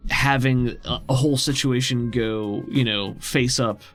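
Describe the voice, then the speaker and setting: A man's voice: mid-range voice, steady tone, measured pace, a bit bright. A man running a tabletop game as game master, narrating off the cuff and chatting casually with the players. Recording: music behind. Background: background music.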